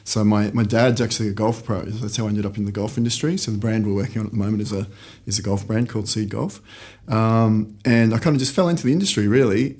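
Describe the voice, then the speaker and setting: Quick-talking man. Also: on the low side. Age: middle-aged. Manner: relaxed storytelling with frequent restarts. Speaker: guest, company founder. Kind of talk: conversational interview answers. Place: office in a shared workspace.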